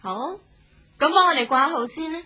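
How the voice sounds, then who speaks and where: Shrewd young lady traveller, hong kong cantonese radio drama, high sharp voice, brisk and confident. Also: muffled recording.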